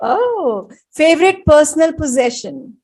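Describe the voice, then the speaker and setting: Slow, lively high-voiced woman host. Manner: giggly, encouraging, short reactions. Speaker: interview host. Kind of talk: rapid-fire interview questions.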